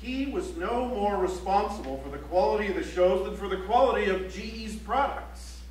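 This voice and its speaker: Actor as male documentary chronicler, high voice, articulate, dry and declamatory, staged in an echoey hall. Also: moderate pace, close to an everyday voice. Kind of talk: theatrical scripted documentary narration. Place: library auditorium stage, recorded from the audience. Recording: echoey auditorium, distant mic, faint hum.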